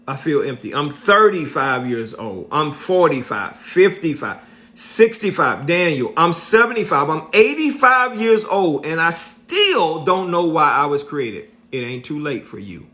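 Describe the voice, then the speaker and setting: A middle-aged man giving a motivational talk on a livestream, slow and preachy, repeating his points and often checking in with "right?". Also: a little high, sing-song, pushed and bright. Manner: slow and deliberate. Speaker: motivational speaker.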